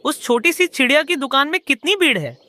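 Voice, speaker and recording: A woman's voice: high-pitched, expressive, a little fast, bright, forceful tone. A woman voicing a jealous, scheming male crow who bosses his sidekick around. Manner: bossy, gruff scolding.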